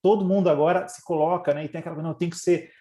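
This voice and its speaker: A man's voice: on the high side, fairly even intonation, warm tone. A man from Brazil hosting a community video call, talking casually with many restarts. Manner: quick, full of restarts and "né".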